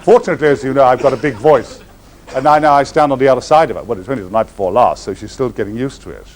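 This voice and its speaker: A man telling comic stories to a live audience in grand, florid words with sharp comic timing. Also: very fast. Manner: grand, florid wording with comic timing and sudden swings in pitch. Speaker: actor telling stories on stage. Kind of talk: theatrical anecdote to a live audience. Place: stage before an audience.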